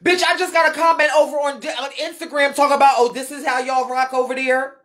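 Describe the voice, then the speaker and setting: A woman's voice: high voice, steady tone, fairly quick, a bit bright. An American woman on an unscripted live stream, ranting bluntly and emphatically with heavy swearing and repeated phrases. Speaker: live streamer and ballroom scene commentator. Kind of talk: unscripted live rant answering viewer comments.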